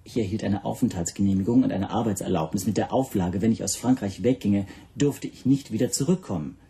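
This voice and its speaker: An actor playing an earnest, anxious Hungarian language teacher who explains himself formally and carefully in a radio drama. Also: male voice, fairly low pitch, fairly quick, warm tone, natural voice. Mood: earnest, defensive.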